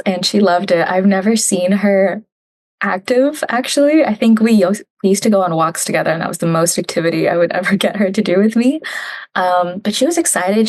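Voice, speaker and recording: A woman's voice: medium pitch, darkish tone. A young woman from Canada, a guest in a casual conversational interview, chatting and laughing often as she retells family talks. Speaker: digital specialist, guest.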